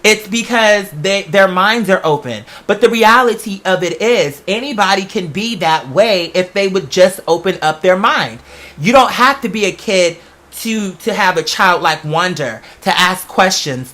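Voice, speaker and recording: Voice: mid-range voice, fairly bright tone. An online host giving a candid, rambling, casual monologue to followers in familiar, slangy language.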